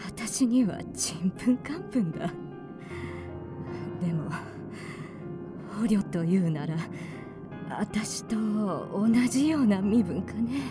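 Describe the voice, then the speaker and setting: An actress playing a weary, tender, dying mother with a whispery, breathy voice in a radio drama. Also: medium pitch, lively intonation, slow, long pauses. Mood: weary, curious. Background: music.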